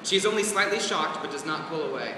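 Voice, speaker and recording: A man's voice: on the high side, lively intonation, fast talker. A man reading out stage directions as scripted narration at a play reading. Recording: very echoey hall, distant mic, noisy ambience.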